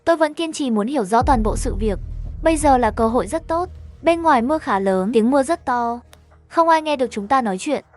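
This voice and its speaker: A woman's voice: sits high, expressive, a little fast, a little mellow, soft background music. A woman reading a scripted horror story, narrating and speaking all the characters' dialogue herself.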